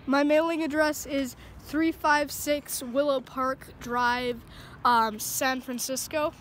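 A woman's voice: sits high, fairly even intonation, takes it slowly. A woman prank-calling a scammer, playing dumb and then mocking him. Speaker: prank caller baiting a scammer. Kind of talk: prank phone call. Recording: noisy phone call.

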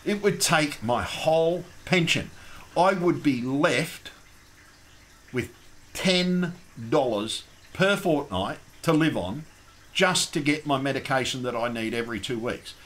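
A man's voice: medium pitch, lively intonation, measured pace, fairly bright tone. A man with an Australian accent hosting a casual call-in show, rambling and chatty with lots of ums and restarts.

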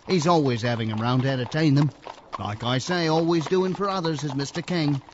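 A man's voice: a little high, brisk, lightly acted. A man playing a folksy, garrulous rural cart driver with a working-class English accent in an audio drama. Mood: eager, chatty. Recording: dull, noisy old radio-style recording.

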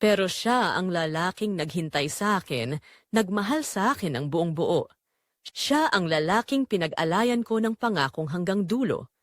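A woman acts out a pregnant young wife burdened by a secret affair in an audio drama. Her voice is on the low side and swings expressively as she confesses in a measured, anguished way. The sound is dry and close. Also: animated, no echo, lightly acted. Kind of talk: first-person dramatic confessional narration.

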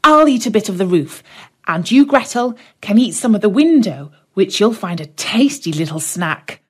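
A woman's voice: medium pitch, expressive, moderate pace, slightly rough. A woman voicing an eager young boy who bossily urges his sister to start eating. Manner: eager, bossy.

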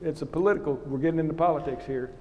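An older man speaks bluntly and plainly on an auditorium stage, his voice sitting a bit high for a man. His words carry a long hall reverb around them. He sounds like a seasoned officer who says what he thinks. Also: rapid-fire, noisy background. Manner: blunt, opinionated, plain-spoken. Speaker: panel guest, retired naval officer. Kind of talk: candid panel answer.

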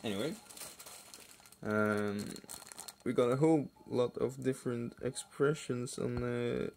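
A man with a creaky, fry-laden voice talks slowly through a casual hobby unboxing. He is hesitant and offhand, trailing off into asides. Plastic crinkles and clicks over faint background noise. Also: medium pitch, steady tone, darkish tone. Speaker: hobby builder and video host. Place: indoors at a wooden table. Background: clicks and crinkling plastic bags.

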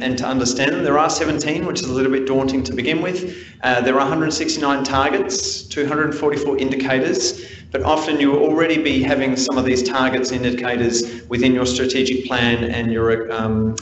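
A man's voice: mid-range voice, a little hiss or hum. Earnest young man in echoey chamber. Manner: earnest, many fillers. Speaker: deputation speaker. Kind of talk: formal address to council. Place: large ornate council chamber. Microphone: lectern mic, camera from behind. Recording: echoey chamber with long reverb.

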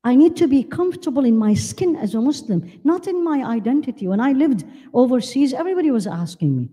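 An older woman born in Iraq, giving an animated religious lecture at a women's conference, holding a handheld mic and asking the audience questions. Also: high voice, a little fast, breathy edge. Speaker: Islamic lecturer. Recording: long hall reverb.

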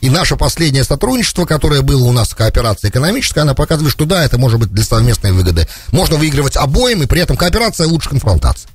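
A man's voice: mid-range voice, very animated, racing, few pauses, husky. A middle-aged man giving off-the-cuff political commentary as a radio host, close on a studio mic. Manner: peppers speech with English terms. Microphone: close studio broadcast mic on boom arm.

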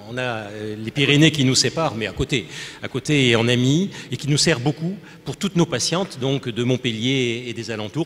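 An older French man moderates a panel in a formal, roundabout way, full of fillers and restarts. His pitch is ordinary. The sound hangs with a long reverb from a large hall. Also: a bit bright. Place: conference stage.

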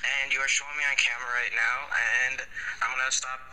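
A man's voice: medium pitch, flat delivery, quick pace, sharp tone, low-quality recording. A teenage boy, sending casual voice messages that play back through a walkie-talkie app. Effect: walkie-talkie app playback. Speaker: friend of the host. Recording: harsh voice message from phone speaker.

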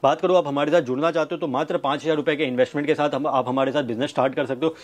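A man in his thirties giving a sales pitch to camera, listing things with repetitive emphasis. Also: fairly even intonation, racing. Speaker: fabric manufacturer's representative presenting stock. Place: fabric showroom indoors. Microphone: clip-on wireless lapel mic.

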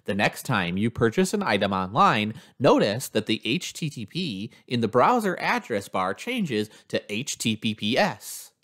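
A man's voice: mid-range voice, very animated, a little slow, few pauses, fairly bright tone. A man reading a scripted instructional narration in an explanatory manner as a lesson narrator.

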